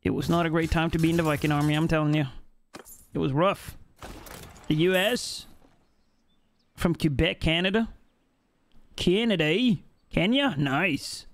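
Young male streamer from Norway, rambling and jokey, a touch high. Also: a little slow, long pauses, a little hiss or hum. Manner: chatty, reads chat aloud, jokey. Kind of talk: live-stream gameplay chat. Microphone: headset mic.

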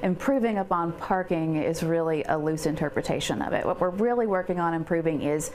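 Casual woman with a lower voice. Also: a little fast, a little mellow. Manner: casual, fillers like um and like. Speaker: downtown development coordinator. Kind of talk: news interview. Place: outdoors by a building. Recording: outdoor interview with noticeable background noise.

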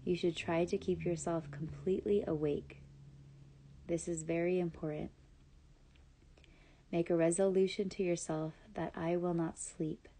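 A woman leading a scripted guided yoga nidra meditation, speaking in calm, soothing, measured instructions with pauses. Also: on the low side.

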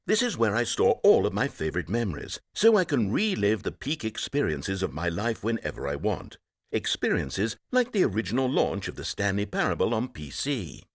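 Brief: self-important game narrator, theatrical, lively swings in pitch, bone-dry studio sound. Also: male voice, moderate pace, dry recording, heavily acted. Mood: smug. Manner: theatrical, pompous, lively delivery. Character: pompous, self-important male narrator, easily rattled. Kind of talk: scripted video game narration.

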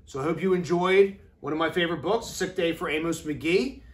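A man in his thirties reading a children's storybook aloud with deliberate, expressive phrasing, as an educator does for young children. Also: a little high. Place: classroom, indoors.

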